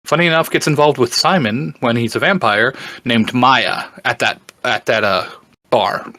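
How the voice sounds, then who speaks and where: A man with a mid-pitched voice chats casually, explaining as a fan who knows the subject. He speaks haltingly, searching for the next word, and the sound is dry with very little room in it. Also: fairly bright tone, no echo. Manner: halting, searching for words, often chuckling. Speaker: podcast guest and fan explaining a book series. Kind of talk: casual explanatory podcast chat.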